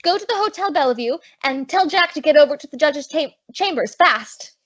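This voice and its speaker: A high-pitched, brash young woman played by an actress in an old-time radio comedy. She is plucky and slangy, quick to bristle with indignation. The recording is a little dull and lo-fi. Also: lively intonation, a bit bright. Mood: urgent.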